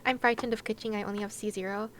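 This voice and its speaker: A woman's voice: medium pitch, steady tone, rapid-fire, a bit bright. A woman chatting casually to viewers on a game livestream, with a playful, giggly, chatty manner. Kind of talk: casual livestream chat while gaming, talking to viewers.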